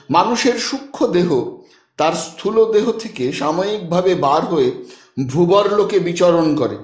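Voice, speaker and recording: A man narrating a literary audiobook expressively, with dramatic, deliberate phrasing and pauses. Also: high voice, echoey room.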